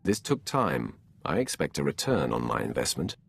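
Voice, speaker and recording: An actor voicing an imperious, menacing dark lord in a video game, with a haughty, commanding delivery. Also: male voice, very deep voice, big pitch swings, normal speed, vocal fry, breathy edge, heavily acted. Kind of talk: scripted game dialogue.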